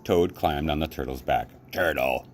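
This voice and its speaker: A man reading a children's storybook aloud as a library storyteller, with expressive, deliberate phrasing. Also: deep voice, a little hiss or hum.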